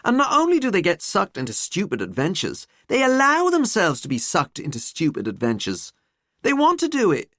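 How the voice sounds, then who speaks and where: A woman's voice: medium pitch, wildly swooping pitch, moderate pace, fairly bright tone, no room sound, clean studio sound, pitched above the performer's own voice. A woman voicing a lazy, sardonic teenage schoolboy in an audiobook, flippant and emphatic. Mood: sardonic exasperation.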